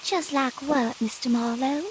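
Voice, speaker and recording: Slow-talking, fussy hotel clerk. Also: female voice, high voice, steady tone, slight background noise, poor audio. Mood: flustered, nervous. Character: flustered, officious female hotel desk clerk. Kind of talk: 1940s radio detective drama acting.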